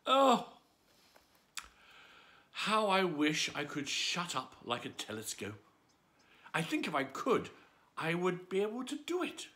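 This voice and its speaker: A man's voice: high-pitched, wildly swooping pitch, slow, long pauses, pushed and bright, pitched above the performer's own voice, full character voice. A man voicing a curious little girl who chatters and wonders aloud in a storybook reading. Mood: wistful.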